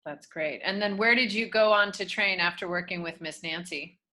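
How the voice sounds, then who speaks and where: A woman's voice: on the low side, moderate pace, pushed and bright. A woman interviewing a dancer, asking questions and reacting with encouraging enthusiasm.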